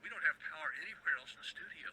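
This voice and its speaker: A curt, grumpy radio host. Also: male voice, fairly high pitch, animated, rapid-fire, pushed and bright, slight background noise, rough recording. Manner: curt, dismissive asides. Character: grumpy male small-town radio host, impatient. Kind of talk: game character dialogue.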